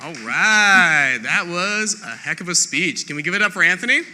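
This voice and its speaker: Male awards presenter, casual live delivery, voice a touch high, heavy crowd noise on the recording. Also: expressive, normal speed. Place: on stage in a theatre. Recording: loud crowd and noise in theatre. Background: loud audience noise.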